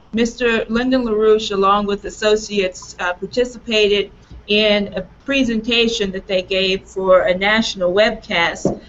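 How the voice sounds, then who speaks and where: Woman, 40s, raspy from allergies, emphatic opener, unhurried delivery, lo-fi webcam sound. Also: medium pitch, fairly bright tone, slight background noise. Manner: earnest, emphatic, laughs at own slips. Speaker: presenter introducing a talk. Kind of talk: political talk introduction, unscripted. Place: small office room, indoors. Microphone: webcam on a video call.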